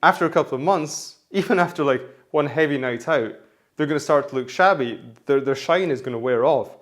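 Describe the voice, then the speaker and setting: A man hosting a menswear vlog, talking casually and rambling with many restarts, his voice hoarse from the flu. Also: fairly high pitch, a little fast. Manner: rambling, chatty, frequent restarts. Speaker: menswear vlogger.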